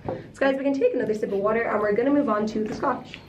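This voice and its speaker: A woman's voice: on the high side, fast, distant mic, highs cut off. Friendly woman guiding a tasting, roomy echo. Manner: friendly, informative. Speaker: tour guide. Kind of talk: guided tasting explanation. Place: indoor whiskey tasting room. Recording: echoey room, mic from afar, noisy.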